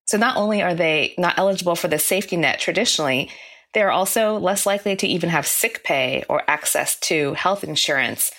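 A woman reporter with a strikingly low voice walks through her findings in a measured, explanatory way. Her delivery is steady and informative, an interview answer.

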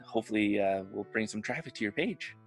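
A man's voice: fairly low pitch, expressive, quick pace, soft background music. A man with a Canadian accent, speaking as the host of a podcast. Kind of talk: scripted intro and podcast interview.

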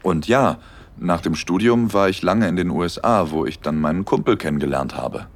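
A young man in love, in a romantic audio drama. His voice is deep and low. He speaks tenderly and intimately, as a smitten, slightly nervous storyteller. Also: moderate pace. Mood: warm, slightly shy. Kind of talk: intimate first-person audio drama narration and dialogue.

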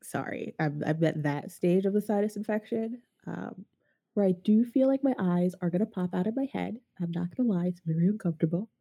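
Woman podcast host, stuffed-up nasal voice, gravelly and dark, chatty and self-mocking. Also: lowish voice, fairly quick. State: congested from a sinus infection. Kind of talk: casual podcast chat.

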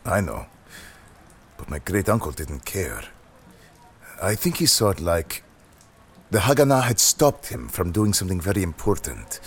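A man voicing a soft-spoken older man recounting a painful family history. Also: sits low, big pitch swings, unhurried, long pauses, husky, full character voice. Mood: somber.

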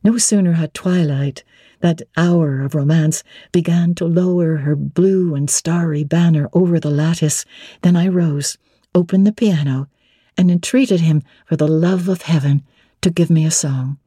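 A woman's voice: low voice, fairly even intonation, unhurried, warm tone, breathy edge, no echo, studio quality. A woman narrator giving a dramatic reading of classic literature. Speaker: audiobook narrator.

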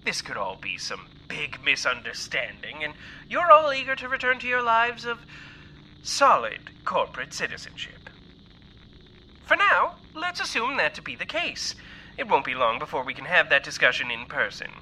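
A man's voice: a little high, wildly swooping pitch, normal speed, bright, forceful tone, slight background noise, exaggerated voice, low-quality recording. A man playing a smug, menacing corporate lawyer delivering a formal ultimatum with pompous, falsely courteous theatrics. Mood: smug menace. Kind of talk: audio drama acting, formal recorded message.

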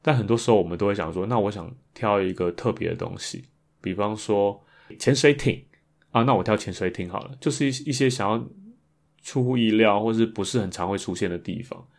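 A man's voice: on the low side, fairly quick, vocal fry. A man with a Taiwanese Mandarin accent talks casually and reflectively as a solo podcast host.

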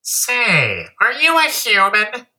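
A man's voice: high-pitched, huge pitch swings, slow pace, pushed and bright, slightly rough, no echo, heavily acted. A man playing a weary, blunt, grumbling alien fleet commander in an audio play, humorless and eager to retire. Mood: glum, befuddled.

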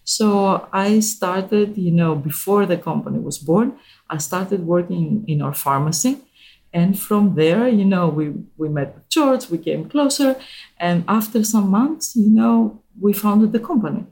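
A woman from Greece with a Greek accent, a company co-founder answering interview questions in a chatty, unhurried way with many fillers. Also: mid-range voice, lively intonation, a little mellow.

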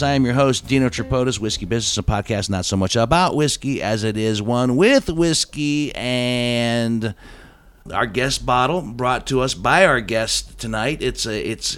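A man's voice: mid-range voice, fairly bright tone. A man with a head cold hosting a casual podcast, talking in a wry, emphatic, conversational way. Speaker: podcast host. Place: recorded in Columbus, Ohio.

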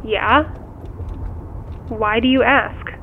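A woman's voice: a little high, steady tone, extremely slow, creaky, full character voice. A woman acting in an audio drama as a worried, blunt friend, heard through a phone call filter. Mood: evasive. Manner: blunt, exasperated, concerned. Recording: muffled phone call, faint car noise.

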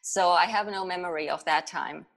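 A woman from Germany speaks as a guest on a podcast. Her voice is a little low for a woman, and she shares personal stories in a casual, conversational way. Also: moderate pace, gravelly, fairly bright tone.

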